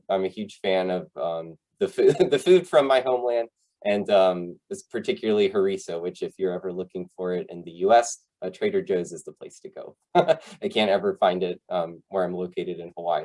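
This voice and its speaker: A man's voice: low voice, darkish tone. A man from the United States, a psychologist giving an informal online presentation in a polite, conversational, somewhat hesitant way. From United States, Tennessee.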